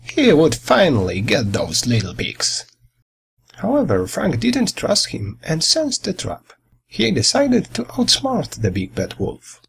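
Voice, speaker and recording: A man reading a children's fairy tale as a storyteller, with a dramatic, expressive delivery and a husky, gravelly voice. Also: a little high, slow, low-quality recording.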